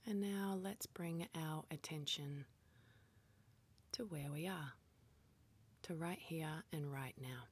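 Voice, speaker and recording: A woman leading a guided meditation in a soft, whispery, breathy voice, calmly and gently instructing with pauses. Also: deep voice.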